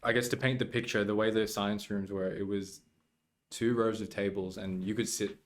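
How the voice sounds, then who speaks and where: A man's voice: on the low side, steady tone, moderate pace. A young man, a podcast guest, telling school stories in casual chat and laughing often.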